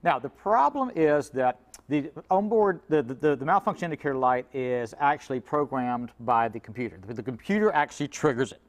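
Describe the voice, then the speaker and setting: A man's voice: medium pitch, expressive, fairly quick. A middle-aged man, a car repair expert, explaining things conversationally in a folksy way and acting out imagined quotes. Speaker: auto repair shop owner, guest expert.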